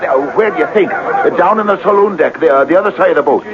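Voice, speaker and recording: An actor in a 1970s radio drama playing a placating, fate-obsessed best friend, hesitant, coaxing and excitable. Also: male voice, fairly high pitch, quick pace, a crowd in the background. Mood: alarmed, urgent. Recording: muffled, hissy 1970s radio recording.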